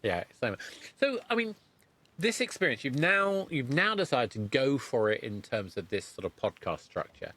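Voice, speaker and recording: A man's voice: medium pitch, big pitch swings, moderate pace, fairly bright tone. A man hosting a podcast, interviewing conversationally and thinking aloud in a discursive way with frequent restarts. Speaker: podcast host interviewing a guest.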